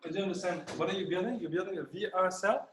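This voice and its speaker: A man's voice: high-pitched, fast, a bit bright. A man on a video call, curious and asking questions. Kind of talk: casual video call chat. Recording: echoey room with faint noise.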